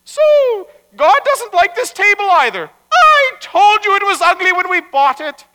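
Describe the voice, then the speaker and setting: A man voicing a scolding, sardonic wife with a sharp nagging manner in a told story. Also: very high-pitched, very animated, a little slow, voice pushed up, heavily acted. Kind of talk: live storytelling. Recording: echoey hall, long reverb.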